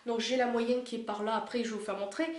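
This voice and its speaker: A woman's voice: fairly high pitch, steady tone, fast talker, roomy sound. A young woman vlogger with a cold, chatting casually and gushing, with lots of fillers. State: has a cold. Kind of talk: casual chat showing a collection. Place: indoor room by a window.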